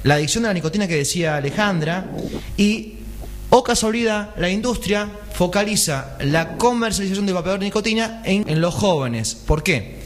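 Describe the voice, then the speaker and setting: A man's voice: high voice, expressive, normal speed, a little hiss or hum. A man, a pharmacist giving a radio health column, explaining step by step and asking rhetorical questions.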